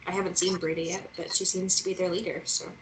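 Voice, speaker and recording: A woman's voice: lowish voice, flat delivery, fast talker, a little hiss or hum, natural voice, low-quality recording. A woman playing a stubborn, irreverent young woman in a screenplay read, talking in a hesitant, conversational way. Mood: guarded. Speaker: actor in a screenplay table read.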